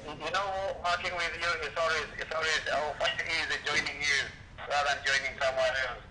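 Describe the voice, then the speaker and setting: A man from Kenya speaking as a radio guest over the phone, halting and repeating words as he answers. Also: on the high side, flat delivery, echoey room, crowd noise, poor audio.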